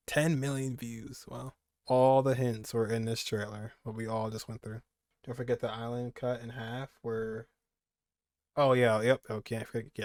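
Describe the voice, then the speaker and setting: A man's voice: medium pitch, darkish tone, no room sound, clean studio sound. A young man giving casual, rambling reaction commentary as a gaming streamer, repeating phrases for emphasis.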